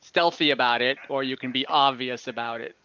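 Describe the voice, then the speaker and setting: A man's voice: medium pitch, fairly quick, sharp tone, smooth, no echo, muffled recording. A man running a tabletop game, narrating and refereeing in a casual conversational way. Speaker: game master.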